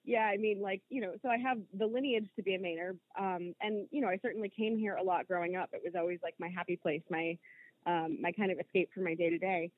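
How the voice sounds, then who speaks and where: An American woman in her thirties from Rhode Island talks casually and candidly as an interview guest, often pausing to find words. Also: on the low side, steady tone, dry recording, phone-quality sound. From Rhode Island, United States. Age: 30s. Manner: informal, candid, with hesitant pauses and hedging. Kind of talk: casual personal conversation.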